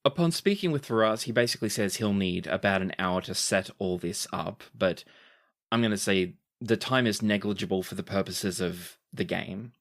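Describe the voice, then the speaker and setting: Lowish guy gm narrating, laid back, chatty, stumbles and self-corrects. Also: normal speed. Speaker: game master. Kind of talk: narrating a tabletop game.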